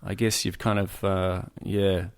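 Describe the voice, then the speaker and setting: A man in his forties from New Zealand, a musician guest talking casually, halting with many restarts and fillers. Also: low-pitched, little pitch movement, clean tone. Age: 40s. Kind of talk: casual conversation.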